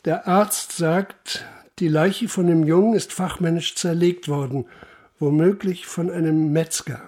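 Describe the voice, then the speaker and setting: An actor playing a persistent police inspector in a radio play, questioning in a measured, formal way. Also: male voice, fairly high pitch, fairly even intonation, darkish tone. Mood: businesslike. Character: probing male police inspector investigating a murder. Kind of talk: German radio play acting.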